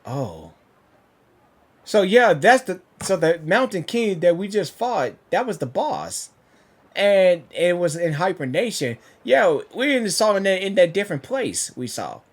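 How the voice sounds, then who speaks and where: A man giving casual, excitable reaction commentary on a game, often repeating himself. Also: high voice, measured pace. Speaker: gaming reaction host.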